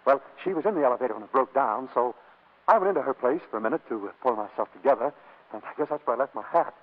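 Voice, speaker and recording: Actor as flustered fast-talking radio husband. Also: male voice, medium pitch, expressive, lightly acted. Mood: flustered, evasive. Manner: stammering, excuse-making. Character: flustered, evasive young husband, hapless and boyish. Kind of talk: 1940s radio comedy acting. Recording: muffled old radio broadcast.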